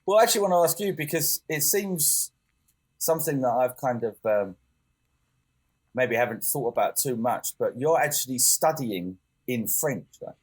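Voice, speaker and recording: A man's voice: medium pitch, lively intonation, normal speed, husky. A man co-hosting a podcast, talking casually and hesitantly, with lots of fillers and self-corrections. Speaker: podcast co-host. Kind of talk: casual chat.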